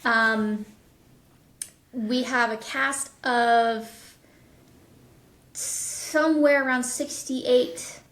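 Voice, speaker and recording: A woman with a fairly high voice talks casually as an interview guest. She sounds like she is in an echoey room, explaining things in a conversational way as a production's voice director. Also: fairly even intonation, very slow, long pauses, a bit bright.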